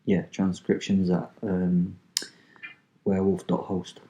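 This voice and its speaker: A man's voice: deep voice, monotone, slow pace, mellow, dull audio. A man with a Manchester accent, hosting a podcast, rambling casually with swearing and lots of ums, then reading out a story he wrote. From England. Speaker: podcast host.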